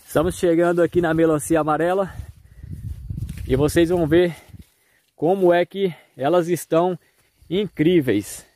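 A man's voice: fairly high pitch, fairly even intonation, normal speed, long pauses, darkish tone. A man with a Brazilian accent giving a casual tour of his farm to viewers, recorded outdoors on a handheld phone. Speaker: farmer and video host.

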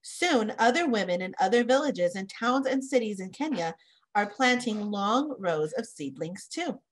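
A woman's voice: mid-range voice, expressive, rough recording. A woman in her thirties reading a children's picture book aloud, phrasing it carefully and simply. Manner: careful phrasing, pausing to explain words simply. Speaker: read-aloud presenter for children. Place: small indoor room, home office.

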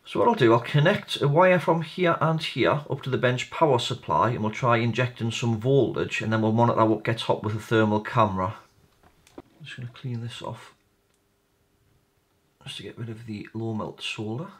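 A man's voice: medium pitch, normal speed. A man giving a casual hands-on repair walkthrough, informally explaining each step and thinking aloud. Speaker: hobbyist electronics repair presenter.

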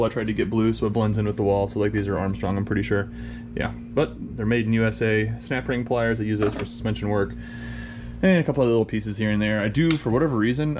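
A man's voice: fairly low pitch, background noise. A man giving a casual, rambling tour of his home basement workshop, filmed on a handheld camera with its built-in mic. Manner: relaxed, rambling, many ums and uhs. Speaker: vlogger giving a shop tour. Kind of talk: casual walkthrough narration. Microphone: camera-mounted mic, speaker off-screen holding camera.

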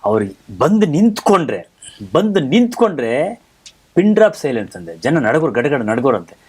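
A man's voice: mid-range voice, very animated, brisk, husky. A man hosting a conversational talk show, telling stories in an emphatic way and repeating phrases for effect. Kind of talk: animated conversational storytelling about theatre.

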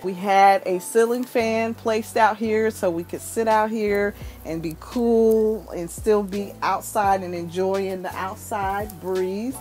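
A woman's voice: medium pitch, fairly even intonation, takes it slowly, hardly any pauses, darkish tone, soft background music. A woman in her thirties walking through her house, cheerfully showing off the decor. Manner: upbeat, gushing over decor. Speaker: vlogger giving a home tour. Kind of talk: casual home tour narration. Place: inside a house, walking room to room.

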